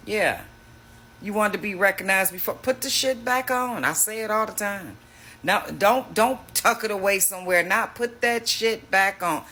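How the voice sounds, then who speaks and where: A man's voice: high-pitched, bright, forceful tone. A man hosting a reaction video, talking casually in a mocking, slangy way.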